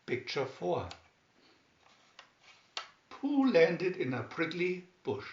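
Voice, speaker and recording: Male narrator for children's picture stories. Unhurried, very expressive delivery; dull-sounding home recording. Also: sits high, reverberant, a little hiss or hum. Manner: deliberate, with emphatic swoops on key words. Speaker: storybook reader. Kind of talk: scripted children's story narration, read aloud slowly and expressively.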